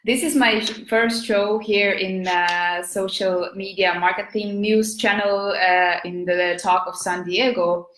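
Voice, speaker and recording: A woman's voice: medium pitch, fairly even intonation, measured pace, few pauses, fairly bright tone, reverberant, rough recording. A woman with an Estonian accent, a business coach hosting a live video talk in her thirties, hesitant, with many fillers and restarts.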